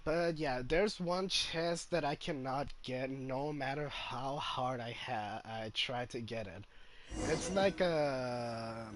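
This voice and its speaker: A man's voice: medium pitch, slow. A man giving casual live commentary on a game as a streamer, hesitantly thinking aloud.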